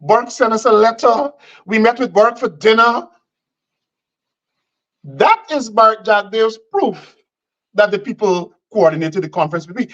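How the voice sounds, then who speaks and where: A man's voice: high voice, measured pace, long pauses, fairly bright tone, highs cut off. A man from Guyana, delivering combative political commentary and repeating phrases for emphasis.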